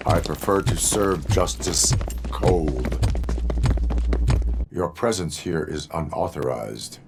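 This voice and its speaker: An actor playing a stoic cyborg police officer, speaking in a formal, clipped, deadpan way for a video game. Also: male voice, low-pitched, slow, heavy background noise. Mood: stoic, flat. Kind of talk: video game cutscene acting.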